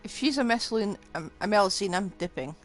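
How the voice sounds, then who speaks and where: A woman streams a game with casual live commentary and wry, joking asides. Her pitch moves about in a lively way, and music plays clearly behind her. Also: fast talker.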